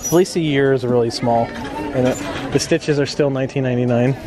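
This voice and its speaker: A man filming a casual shopping vlog on a handheld camera, chatting along and reading out prices. His voice sits at an ordinary pitch. Store shoppers murmur clearly in the background. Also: fairly even intonation, fairly quick, crowd noise. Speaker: vlogger filming a shopping trip. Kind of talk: casual chat. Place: inside a quiet clothing store.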